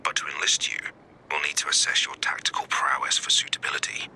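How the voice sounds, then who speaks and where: Deep-voiced deadpan spymaster. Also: male voice, gravelly, whispered. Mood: appraising. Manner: measured, deadpan, clipped. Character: stern, deadpan male covert-ops spymaster. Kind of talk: scripted video game character dialogue. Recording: noisy game ambience behind voice.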